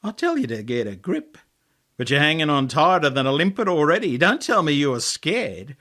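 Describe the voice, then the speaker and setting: A menacing Australian tempter from an audiobook tale, acted out by a male narrator. He taunts in a mocking, slangy way, with the voice leaping up high. His delivery swings widely as he needles his target. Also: very animated, normal speed, bright, forceful tone. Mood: mocking, needling. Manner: mocking, goading, slangy, pitch leaping upward.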